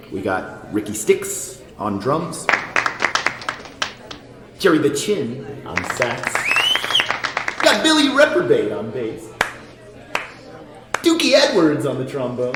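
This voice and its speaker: A man playing a down-on-his-luck jazz pianist in an audio drama, chatty, nervous and hesitant. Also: mid-range voice. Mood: showman patter. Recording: loud background ambience noise.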